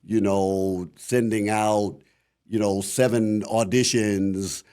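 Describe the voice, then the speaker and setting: A middle-aged man with a deep voice and a Midwestern American accent, chatting reflectively as a voice actor guest in an interview. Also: fairly even intonation, takes it slowly, mellow, clean tone. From Akron, Ohio, USA. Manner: rambling, many "you know" fillers, reflective. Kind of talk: casual interview talk.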